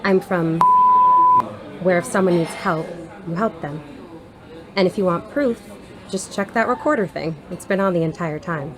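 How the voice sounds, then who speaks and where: Lowish-voiced, patient young woman actress. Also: normal speed, pauses often, crowd noise, lightly acted, low-quality recording. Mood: patient, wry. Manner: reassuring, matter-of-fact, lightly wry. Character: helpful, patient, plain-spoken young female aspiring actor. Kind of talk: naturalistic audio drama dialogue.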